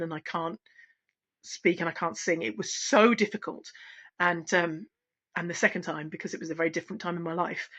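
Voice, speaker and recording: A middle-aged woman, a singing teacher, talking candidly at home in a relaxed, unscripted vlog, pausing and restarting as she reflects. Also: lowish voice. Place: at home, wrapped in a blanket. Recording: dull, lo-fi sound.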